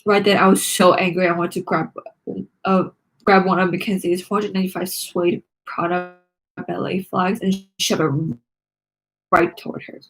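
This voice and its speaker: Husky-edged woman reading a storybook aloud. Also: fairly low pitch, flat delivery, moderate pace, soft background music, poor audio. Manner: dramatic, acting out the lines. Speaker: read-along narrator of a children's book.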